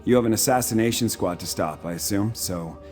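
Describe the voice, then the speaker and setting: Guy voicing a shrewd army commander in a video game, lowish voice, formal n dry, bit of music behind. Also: a little mellow, natural voice. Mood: smug, dry. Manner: formal, measured, self-assured. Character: shrewd, proud, dry-witted Imperial military commander. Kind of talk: video game character dialogue.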